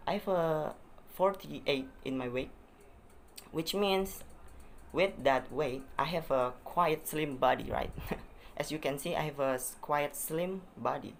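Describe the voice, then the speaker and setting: Young Indonesian man, English not his first language, chatty vlog delivery, halting and repetitive, light background noise. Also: medium pitch, gravelly. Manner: hesitant, repeats phrases, many uh fillers. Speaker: vlogger. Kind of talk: casual self-introduction to camera.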